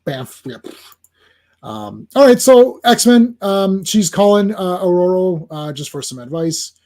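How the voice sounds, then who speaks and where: A man's voice: high-pitched, sing-song, measured pace, darkish tone. A man hosting a casual comics livestream, chatting through a comic and greeting viewers in the chat.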